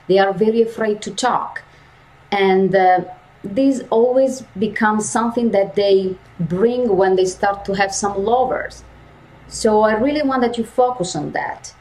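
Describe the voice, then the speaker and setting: Slow, emphatic woman voice coach. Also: medium pitch, poor audio. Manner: slow, emphatic, repeats key phrases. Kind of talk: lecture to university students.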